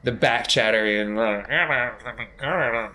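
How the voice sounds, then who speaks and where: A man's voice: mid-range voice, extremely slow, pushed and bright. A man acting as game master, explaining rules casually and encouraging the players. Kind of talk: running a tabletop game, casual.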